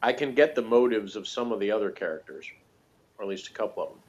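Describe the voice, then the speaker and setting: A man's voice: on the low side, expressive, quick pace, a little mellow. A man chatting casually as a guest reviewer, rambling conversationally with plenty of "you know" and "like". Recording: dull lo-fi recording.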